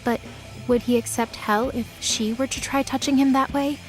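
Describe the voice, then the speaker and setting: Female narrator for dramatic myth fiction: higher-pitched voice, scripted storytelling delivery over a clear music bed. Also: steady tone, normal speed, low-quality recording.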